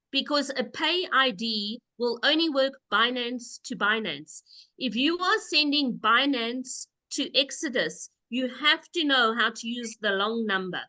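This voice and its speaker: This woman has a high, bright voice and leads a group call. She explains patiently and leans on emphatic repetition to drive her points home. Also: low-quality recording. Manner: emphatic, repeats key points. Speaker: call host. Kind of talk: call presentation, explaining step by step.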